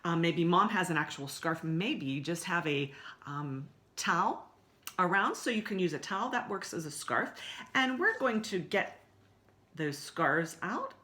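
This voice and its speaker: A woman's voice: on the low side, very animated, a little slow, pushed and bright. A woman leading a story time for young children, speaking in simple, encouraging words as she explains songs, stories and activities. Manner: simple, encouraging address to small children with repetition.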